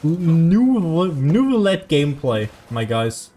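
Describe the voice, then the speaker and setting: A man's voice: fairly high pitch, very animated, slow, dark tone. A man casually streaming a video game, musing aloud as he comments to his chat. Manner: musing aloud, hums to self.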